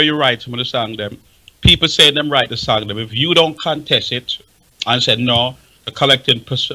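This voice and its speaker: A man's voice: medium pitch, sharp tone, rough recording. An impassioned man with a Jamaican accent speaking emphatically and repeating himself in a heated radio discussion. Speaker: talk show panelist.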